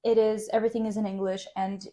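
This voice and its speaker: Mellow-voiced woman, thoughtful explainer. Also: medium pitch, flat delivery, quick pace. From Russian-speaking household, living in Vienna. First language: Russian. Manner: thoughtful, explains at length, laughs often. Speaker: guest. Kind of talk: conversational podcast interview.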